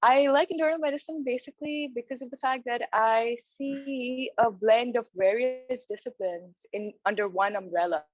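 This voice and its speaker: A young woman from Pakistan answers interview questions in a steady mid-range voice, earnest and a little halting. The sound is close and dry but dull, like a lo-fi video call. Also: on the high side, dry recording. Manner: earnest, with many hesitant fillers and restarts. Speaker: residency applicant. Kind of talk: mock job interview answers.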